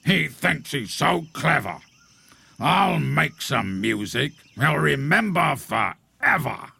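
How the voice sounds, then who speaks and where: A man voicing a vain, grumbling rhinoceros in a storybook, with a gravelly, husky voice and pompous, drawn-out delivery. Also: on the high side, big pitch swings, bright, forceful tone, no room sound, clean studio sound, voice pushed up, full character voice. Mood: indignant, scheming.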